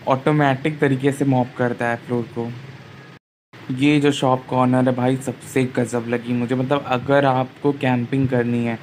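A young man narrates a casual vlog in an informal, chatty way. His voice sits at an average pitch, with faint noisy ambience behind it. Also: darkish tone. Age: 20s. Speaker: vlogger.

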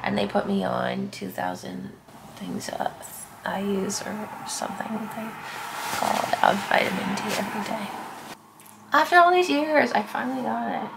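A young woman vlogging at home, hoarse and congested from illness, talking about it in a plain, tired way. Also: medium pitch, normal speed, background noise. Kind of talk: casual chat. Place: living room at home.

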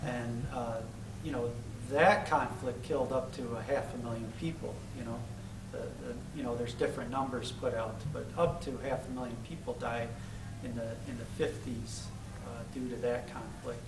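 A man's voice: medium pitch, fairly even intonation, normal speed, background noise. A man in his forties with a Chicago accent, giving an informal lecture and taking questions, talking in a loose, conversational way full of "you know". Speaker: guest lecturer. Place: large lecture room, indoors. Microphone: far camera, podium mic. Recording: roomy hall from far off.

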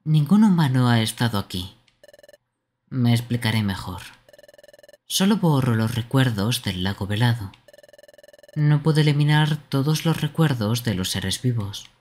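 A man's voice: medium pitch, lively intonation, a little slow, long pauses, a little mellow, slight background noise. A man co-streaming a live fan dub, reading game dialogue with casual asides. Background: game music.

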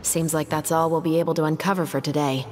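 A woman performs a serious young female investigator from a video game. Her voice sits low and she lays out her reasoning in measured, articulate phrases, composed and matter-of-fact, with faint music behind her. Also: steady tone, a little mellow, close to an everyday voice. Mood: focused, analytical. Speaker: game character voice actor. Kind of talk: scripted video game dialogue.

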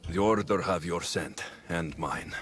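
An actor playing a confident, wry mercenary hero of ancient Greece, with a low-key, self-assured delivery in a video game. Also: male voice, a little slow, a bit bright. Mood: cool, probing. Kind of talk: video game cutscene dialogue. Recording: game music behind the voice. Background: background music.

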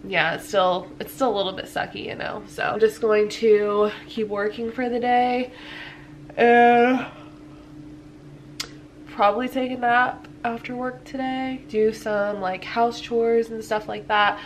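A woman's voice: a little high, level tone, a little slow, slight background noise. Chatty stuffy-sounding young woman vlogging. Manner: chatty, filler-heavy, laughs often. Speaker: lifestyle vlogger. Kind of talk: casual chat to camera. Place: living room at home.

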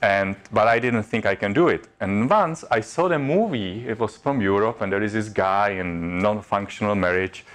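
A man in his forties with a Czech accent gives a chatty, self-mocking workshop talk in a classroom, his fairly low voice rising when a story gets lively. He is caught by a camera across the room rather than a close mic. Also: normal speed, few pauses. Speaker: workshop presenter. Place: classroom in front of a projection screen. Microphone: far camera.

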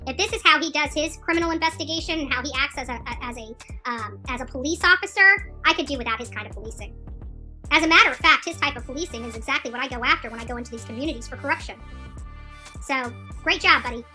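A woman in her forties scolds and challenges as she addresses her livestream audience. Her voice is high and very bright, forceful and quick, with music playing behind her. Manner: confrontational, scolding. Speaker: livestream channel host. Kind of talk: indignant livestream rant to viewers. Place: small room indoors, seated on a couch.

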